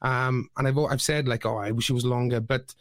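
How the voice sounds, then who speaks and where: A man at a medium pitch, hosting a relaxed podcast and heaping enthusiastic praise on his guest. His voice is recorded close and dry. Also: fairly quick, no room sound. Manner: enthusiastic and complimentary, says "dude" and "mate". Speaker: podcast host. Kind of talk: casual podcast interview.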